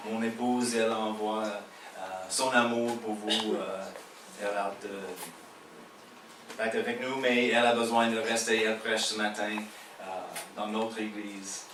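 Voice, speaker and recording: A man with an American accent mixed with a Québécois French one, a pastor preaching a sermon in halting French with many fillers and light jokes. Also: fairly low pitch, steady tone, background noise. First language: English. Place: church hall. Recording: echoey hall heard from a distance.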